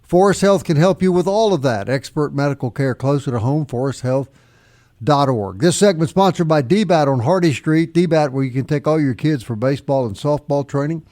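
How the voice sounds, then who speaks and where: A man's voice: a little high, expressive, fairly quick, mellow. A man hosts sports radio. He talks in a folksy, bantering way and moves easily between casual chat and sponsor reads.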